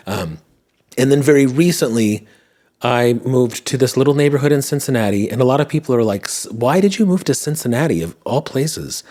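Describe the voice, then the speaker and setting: A man's voice: medium pitch, a little mellow. Tired, slightly smoky-voiced man chatting. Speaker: podcast host. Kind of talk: casual solo chat. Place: at home, indoors.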